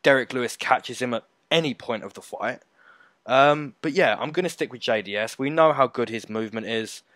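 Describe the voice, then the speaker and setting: Co-host, mid-pitched man, dry close sound, emphatic casual delivery. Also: a little fast, a bit bright, dry recording. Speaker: co-host of a fight prediction show. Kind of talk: casual betting breakdown chat.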